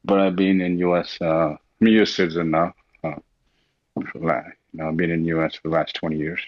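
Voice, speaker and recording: Hesitant man, very deep voice. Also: flat delivery, brisk, dry recording, highs cut off. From South India. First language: Telugu. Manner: hesitant, many ums and uhs. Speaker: guest on a call-in audio room. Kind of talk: casual chat.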